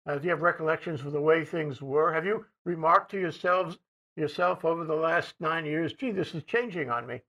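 Bright, halting male interviewer. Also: a little high, rough recording. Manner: halting, many fillers and restarts, clears throat. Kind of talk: interview questions.

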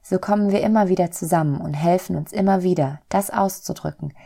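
A woman voicing a kindly, loving soul in a storybook, gentle, reassuring and earnest. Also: lowish voice, brisk, creaky. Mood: tender, earnest.

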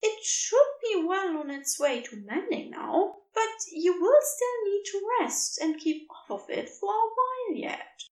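A woman voicing a big, gentle dragon creature that fusses soft and warm over a hurt guest, in a podfic reading. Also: very high voice, animated, unhurried, few pauses, roomy sound, distant mic, voice pushed up. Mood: fond. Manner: soft, fussing, warm and reassuring. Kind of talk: podfic character voice.

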